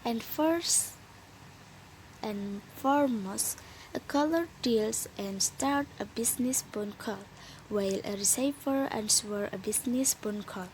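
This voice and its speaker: A young woman reading a scripted lesson as a student presenter, in a careful, slightly halting way. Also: fairly high pitch, a little mellow, slight background noise, rough recording. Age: young adult.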